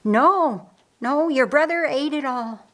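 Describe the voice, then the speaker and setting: A woman's voice: medium pitch, normal speed, natural voice. A woman voicing a practical, caring sister in a storybook, speaking in an emphatic, commanding way. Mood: brisk.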